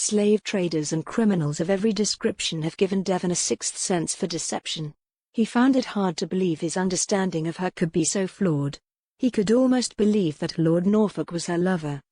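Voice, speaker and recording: A woman's voice: on the low side, darkish tone, no room sound, clean studio sound. A woman reading scripted, dramatic narration from a historical romance novel as an audiobook narrator.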